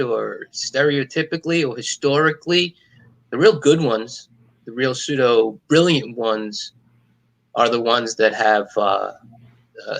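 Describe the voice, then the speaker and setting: Middle-aged male stand-up comic from New York, deadpan and cynical, sharp forceful tone. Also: mid-range voice, low-quality recording. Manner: wisecracking, cynical, deadpan jokes. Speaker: stand-up comedian, guest. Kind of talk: casual video-call interview.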